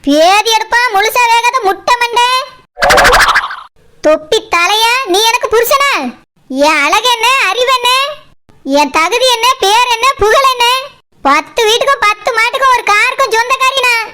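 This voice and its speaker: A woman's voice: very high-pitched, normal speed, noisy background. A woman voicing a haughty, scolding, boastful village woman in a comic cartoon, with a Kanyakumari Tamil accent. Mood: outraged, threatening. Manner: scolding, self-important. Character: haughty, fiery, boastful village woman who owns property.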